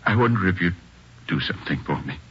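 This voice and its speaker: A bereaved American dad in an old radio play. His voice is low and very husky, halting and heavy, on a muffled vintage recording. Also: lively intonation, exaggerated voice. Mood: gentle, grieving. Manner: halting, heavy, plain-spoken. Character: grieving, restrained father of a dead young boy. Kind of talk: 1940s radio drama acting.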